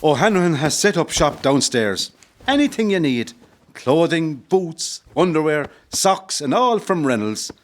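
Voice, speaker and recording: A man's voice: on the high side, lively intonation, unhurried. An actor playing an encouraging rebel officer addressing his men in an audio play. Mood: approving, brisk.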